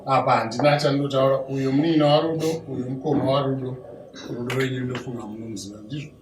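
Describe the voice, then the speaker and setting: A man's voice: mid-range voice, steady tone, fast, roomy sound, close to an everyday voice. Male actor as Zimbabwean go-between in a family ceremony drama, formal address, distant mic, noticeable background noise. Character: family go-between presenting relatives at a bride-price ceremony.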